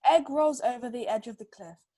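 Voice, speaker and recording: A woman's voice: a little high, moderate pace, mellow. A woman chatting casually with a child as a reading helper and reading a children's storybook aloud. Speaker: adult reading tutor helping a child.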